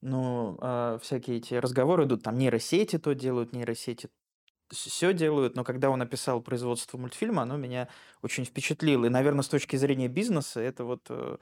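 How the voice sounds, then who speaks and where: A man with a medium-pitched voice acts as an interviewer. His delivery is informal and conversational, and he often searches for words while working through a long thought. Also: fairly quick, darkish tone. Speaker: interviewer, host.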